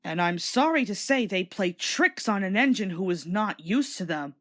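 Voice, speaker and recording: A woman's voice: mid-range voice, animated, normal speed. A woman reading a children's story aloud as a scripted read-along narrator.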